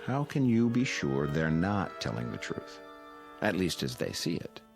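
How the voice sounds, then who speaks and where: A deep, expressive voice plays a condescending psychiatrist in an audio drama. He is measured and intellectual, calmly patronizing as his pitch rises and falls. Music plays clearly behind him. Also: full character voice. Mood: detached reasoning. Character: calm, condescending male psychiatrist at a mental institution. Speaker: actor.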